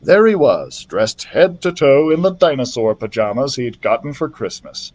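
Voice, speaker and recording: A man reads a children's story aloud in a friendly, chatty way. His voice moves with lively rises and falls at a middle pitch. It comes through a built-in laptop mic. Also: moderate pace, lo-fi sound. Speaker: librarian storyteller.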